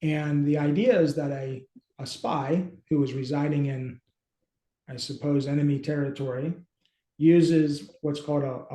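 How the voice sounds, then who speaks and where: A middle-aged man with a fairly high, mellow voice talks through a screen share in a casual way. He thinks aloud and often halts mid-sentence. The sound is roomy, as from a video call in a small room. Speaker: host and app company team member. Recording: roomy, echoey home room.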